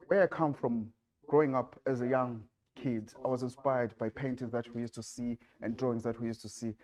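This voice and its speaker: A man from Zimbabwe giving a design talk with slides in a lecture hall, speaking haltingly with many fillers and restarts. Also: mid-range voice, a little mellow. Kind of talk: presentation with slides.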